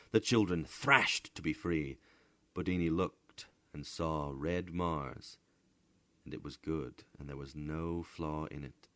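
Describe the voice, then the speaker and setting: A man with an extremely low voice narrates fiction as a storyteller. His delivery is slow, deliberate and theatrical, sometimes hushed, in a dry, close sound. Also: no room sound. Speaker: storyteller, voice actor. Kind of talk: dramatic literary story narration.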